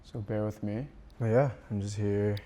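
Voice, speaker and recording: A man in his twenties from South Korea, a native Korean speaker, talking casually and haltingly to camera in unscripted English as a beginner vlogger. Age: 20s. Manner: hesitant, halting, low-key. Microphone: small wireless clip-on mic. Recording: steady background noise on a small wireless mic.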